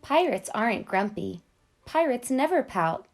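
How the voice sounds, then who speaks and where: A woman reading a picture book to children in an expressive, deliberate storyteller's delivery. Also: a little high, soft background music. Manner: clear, deliberate delivery addressed to young children. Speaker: children's read-aloud storyteller and host.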